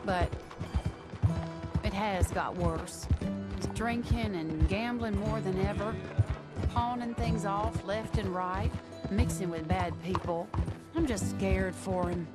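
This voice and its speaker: An actress playing a genteel, anxious lady of the Old West in a video game, pleading and gently reproving. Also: mid-range voice, takes it slowly, pauses often, loud music behind the voice, natural voice. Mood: worried. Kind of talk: video game cutscene acting.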